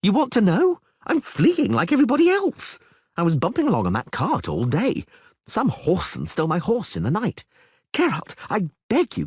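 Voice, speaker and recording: Man doing high panicky young bard, husky voice, dry sound, all drama. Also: darkish tone, no echo, exaggerated voice. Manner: breathless, pleading and dramatic. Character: panicky excitable male bard, young adult.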